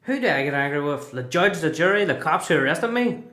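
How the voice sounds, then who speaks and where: A man's voice: fairly high pitch, animated, brisk, fairly bright tone. A man with a Northern Irish accent acting a resentful, questioning prisoner in a radio play. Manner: challenging, questioning. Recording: echoey, roomy sound.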